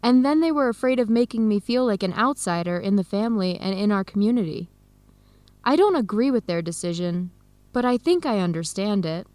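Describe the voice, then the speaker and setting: A woman's voice: medium pitch, expressive, brisk, a little mellow, dry recording, close to an everyday voice. A woman acting an earnest young adoptee in a radio drama, speaking openly with an American accent. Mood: reflective.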